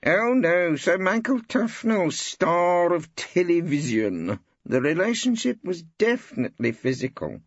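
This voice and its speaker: Man as a grating, high, sly academic lawyer. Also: sing-song, measured pace, dry recording, dull audio, full character voice. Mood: triumphant slander. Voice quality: grating, like tearing metal. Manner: conspiratorial. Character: sly, ghoulish, slanderous older male law tutor. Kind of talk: audiobook character acting.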